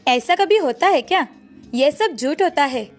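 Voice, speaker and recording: A woman voicing a stubborn, curt young rich man's daughter in an animated moral story. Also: high-pitched, measured pace, soft background music. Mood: dismissive.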